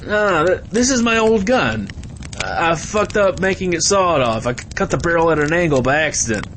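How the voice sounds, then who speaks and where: A man's voice: a little high, very animated, moderate pace, a bit bright, slight background noise. A man playing a foul-mouthed, sarcastic guy who is hurt and complaining, in an audio comedy drama. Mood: pained, flustered. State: in pain.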